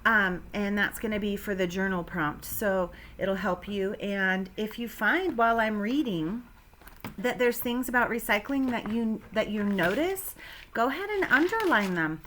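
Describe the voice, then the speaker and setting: A woman's voice: mid-range voice, expressive, measured pace, fairly bright tone, slight background noise. A woman teacher giving a read-aloud science lesson to children, with simple, instructive phrasing addressed to her pupils. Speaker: elementary school teacher.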